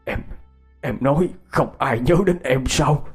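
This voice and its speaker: A man's voice: medium pitch, unhurried, a little mellow, slightly rough, faint music behind, voice pushed up. A man playing an older husband with a choked, trembling voice, pleading with emotion in a dramatic story. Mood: anguished. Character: older husband, heartbroken, choked with long-held grief.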